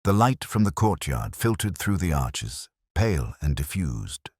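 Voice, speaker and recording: A man narrating a mystery drama as a brooding, reflective detective inspector, in a measured, solemn and literary delivery. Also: rumbling low, very animated, a little mellow, slightly rough, no echo, studio quality, exaggerated voice. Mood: reflective, sombre. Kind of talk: scripted first-person mystery narration.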